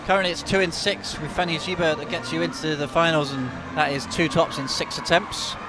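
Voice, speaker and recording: A man co-commentating live on a climbing competition, enthusiastic and excitable, often repeating words as he reacts to the action. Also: fairly high pitch, a little fast. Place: big climbing competition hall with a large crowd. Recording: loud crowd and background noise in an arena.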